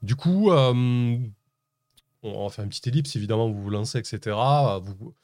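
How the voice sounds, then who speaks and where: A man acting as game master, improvising narration for a tabletop roleplaying game in a hesitant, halting way with repeated words. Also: medium pitch, animated, a little mellow, no room sound. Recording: music playing under the voice.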